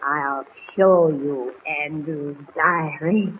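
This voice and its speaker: A half-mad woman villain in an old radio thriller. She speaks slowly and deliberately, with bitter, mocking menace and melodrama. The recording is tinny and phone-like, with clear noise. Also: deep voice. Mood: angry, mocking. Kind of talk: 1940s radio acting.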